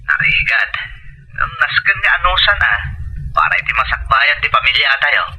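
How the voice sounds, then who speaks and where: Actor as radio drama husband, telephone filter, husky edge, piercing tone, earnest, devoted, halting. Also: male voice, a little high, bright, forceful tone, full character voice, low-quality recording. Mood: caring, weary. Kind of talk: Ilocano radio drama acting.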